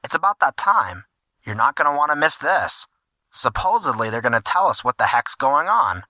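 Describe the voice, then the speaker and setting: A man's voice: mid-range voice, very animated, normal speed, pushed and bright, no echo, studio quality, voice pushed up, heavily acted. Man voicing a laid-back teasing teenager. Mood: teasing, casual. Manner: casual, teasing.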